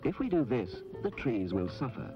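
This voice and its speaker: A man reading scripted public information narration in an instructive, measured manner on an old film soundtrack. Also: mid-range voice, sing-song, dull audio. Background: music.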